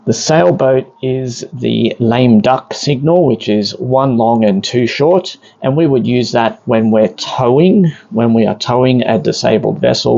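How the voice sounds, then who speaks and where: A man's voice: mid-range voice, gravelly, darkish tone, muffled recording. A man giving a plain, methodical step-by-step equipment demonstration as an instructor, recorded inside a vessel. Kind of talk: hands-on demonstration, explanatory.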